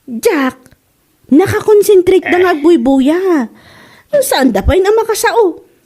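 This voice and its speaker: An actress playing a nagging, teasing wife in a comedy drama. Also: female voice, very high-pitched, lively intonation, slow pace, dark tone, full character voice. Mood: bickering.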